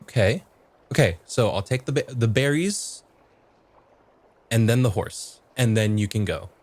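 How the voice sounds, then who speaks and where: Mid-pitched man playing a tabletop game. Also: lively intonation, a little slow, darkish tone. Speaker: guest player, game master and performer. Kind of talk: casual improvised tabletop roleplay.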